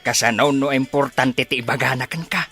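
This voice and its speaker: A man playing a gentle middle-aged village father and husband in an Ilocano radio comedy drama, with a Filipino accent. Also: mid-range voice, a little fast, faint music behind. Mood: mild, bantering.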